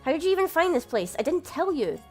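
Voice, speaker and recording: A woman's voice: high voice, big pitch swings, fast talker, soft background music, pitched above the performer's own voice. A woman reading a visual novel aloud, voicing a sheepish young man who narrates and argues with his family. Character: young adult man, a flustered older brother who narrates.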